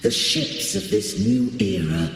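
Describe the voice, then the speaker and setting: A man's voice: sits low, big pitch swings, slow pace, fairly bright tone, full character voice. A male actor playing an ancient, fading godlike being in a video game, solemn and gracious, with stately archaic speech and cavernous reverb. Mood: solemn blessing. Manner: stately, formal, archaic phrasing. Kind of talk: archaic scripted game dialogue. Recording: echoey long reverb, music behind.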